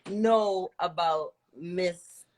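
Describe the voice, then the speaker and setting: A woman with a slightly low voice hosts a casual live interview. She is affirming and encouraging toward her guest and tends to say a phrase twice as she builds a question. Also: drawn-out words, darkish tone, a little hiss or hum. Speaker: host, interviewer.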